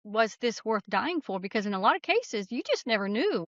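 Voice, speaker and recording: Higher-voiced woman, dry slightly muffled sound. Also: very animated, brisk, fairly bright tone, no room sound. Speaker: author guest being interviewed. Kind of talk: conversational interview storytelling.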